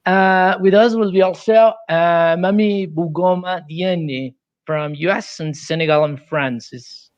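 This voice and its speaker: Male moderator, Colombian Spanish accent, higher register, halting delivery, dry recording. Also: smooth, no echo, lo-fi sound. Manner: halting second-language English. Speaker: panel moderator, science fiction editor. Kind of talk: hosting an online panel discussion.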